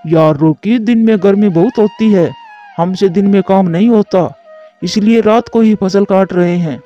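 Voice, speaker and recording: A man's voice: high voice, moderate pace, warm tone, close to an everyday voice. A man voicing a lazy, scheming crow husband in a children's cartoon. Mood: casual, deceitful. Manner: scheming, work-shy. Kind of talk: Hindi children's cartoon voice acting. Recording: dull lo-fi audio with music behind.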